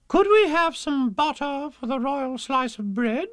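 A man voicing a polite, genteel queen, gently coaxing, in a rhyming storybook. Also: very high voice, expressive, measured pace, no room sound, clean studio sound, pitched above the performer's own voice.

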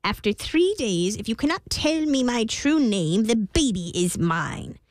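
A woman voicing a gloating, impatient little male imp in a children's fairy tale, with a taunting, drawn-out delivery. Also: on the high side, big pitch swings, dry recording, pitched above the performer's own voice. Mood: smug, threatening.

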